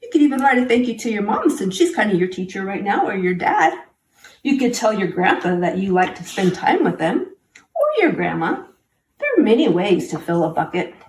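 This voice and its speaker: A woman's voice: medium pitch, very animated, moderate pace. A woman reading a picture book aloud to children, speaking simply and encouragingly and addressing young listeners directly. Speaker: children's story reader. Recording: roomy, distant mic, faint background noise.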